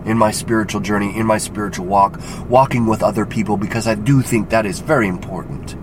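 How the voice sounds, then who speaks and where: A man hosting a faith podcast, talking earnestly and rambling with frequent "right?" asides, his voice gravelly from a cold. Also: lowish voice, brisk. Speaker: podcast host. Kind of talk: reflective faith podcast monologue. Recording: loud road and traffic noise.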